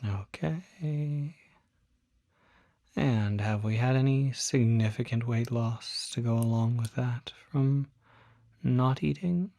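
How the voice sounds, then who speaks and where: A performer voicing a calm, attentive demon doctor in a soft-spoken ASMR roleplay, often whispering, with gentle, deliberate questioning. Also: medium pitch, dry recording, clean studio sound. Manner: slow, deliberate, gentle bedside questioning with pauses.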